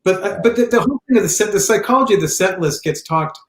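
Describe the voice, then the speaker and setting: A man co-hosting a podcast, who says he has a big voice all the time and talks loudly. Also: sits high, lively intonation, quick pace. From Italian heritage. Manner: loud, big-voiced talker. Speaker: podcast co-host. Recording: roomy echo.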